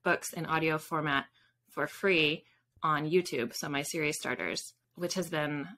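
Bright-voiced woman, casual interview guest. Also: low voice, measured pace. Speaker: podcast guest, author. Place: home room with bookshelves. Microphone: video call.

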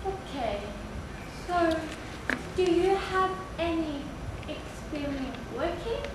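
A woman's voice: very high-pitched, lively intonation, slow pace, heavily acted. A woman playing a polite, businesslike job interviewer in a stage play. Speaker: stage actor. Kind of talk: live amateur stage play dialogue. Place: stage of a theatre with audience. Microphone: far camera. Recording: very echoey theatre from far off, noisy.

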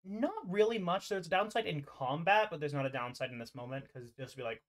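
A game master with a mid-pitched voice narrates a tabletop roleplaying game over an online voice call. The narration is informal and playful. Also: animated, brisk, a crowd in the background.

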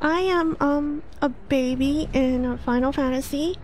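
High-pitched, flustered woman game streamer. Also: measured pace, slight background noise. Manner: excitable, flustered, exclamatory, repeats words in panic. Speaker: streamer, host; English literature teacher. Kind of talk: casual livestream gaming chat. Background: game music.

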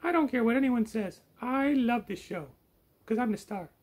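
A man voicing a silly, greedy, self-important furry puppet with a naive, boastful, excitable manner. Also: extremely high pitch, normal speed, pitched above the performer's own voice. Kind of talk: puppet show banter.

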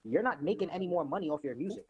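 A man's voice: on the high side, expressive, dull audio, crowd noise. A young man in his late teens, a rapper arguing on a phone live stream and repeatedly cutting in with "listen". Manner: rapid-fire, keeps repeating "listen" to cut in. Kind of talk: heated casual argument. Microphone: phone front camera.

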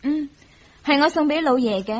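An actress playing a gentle, coy young wife who keeps the peace, in a Hong Kong Cantonese radio drama. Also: female voice, high voice, expressive, moderate pace. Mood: modest. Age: young adult.

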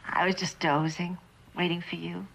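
Wounded, lonely wife. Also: female voice, lowish voice, flat delivery, gravelly, breathy edge, poor audio. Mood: quiet, drowsy. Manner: plaintive, halting, wounded. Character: lonely, neglected wife, pleading and bitterly sarcastic. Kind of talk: mid-century American television drama acting.

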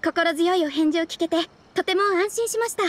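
A woman's voice: extremely high pitch, moderate pace, a little hiss or hum. A woman playing a polite, earnest young guild receptionist in a game dub, speaking formally and courteously. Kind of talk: Japanese game dub, quest briefing dialogue.

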